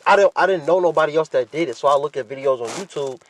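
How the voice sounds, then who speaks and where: Male podcast host, pitch a little high. Casual, blunt, profane solo talk, repeating points for emphasis. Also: fast, a little hiss or hum, low-quality recording. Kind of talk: casual candid monologue.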